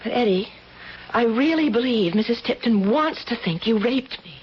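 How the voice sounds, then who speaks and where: A woman's voice: a little high, animated, normal speed, natural voice. An actress playing a timid, honest young working woman in a radio drama, hesitant, earnest and defensive. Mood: uneasy. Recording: muffled, hissy old radio drama recording.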